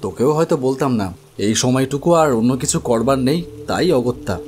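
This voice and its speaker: A man playing an earnest, uneasy protagonist who narrates his own story in an animated horror drama. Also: medium pitch, normal speed, a little hiss or hum, close to an everyday voice. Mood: reflective storytelling. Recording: music under the voice. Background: background music.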